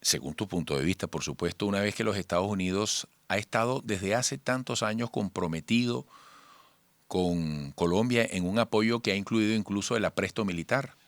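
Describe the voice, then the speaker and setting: Radio host guy, lowish voice, jumps in to sum up what the guest means. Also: moderate pace, dry recording. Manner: interjects and paraphrases the guest's points. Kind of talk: radio news interview.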